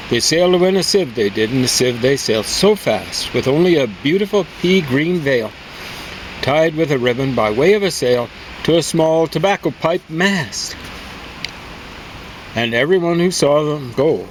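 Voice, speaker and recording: A man's voice: on the high side, animated, moderate pace, low-quality recording. A man speaking in a casual, conversational way as he chats and reads poetry aloud. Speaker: poem reader and reviewer. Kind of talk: casual chat and poem reading. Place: outdoors on a deck. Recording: outdoor recording with clear vehicle noise.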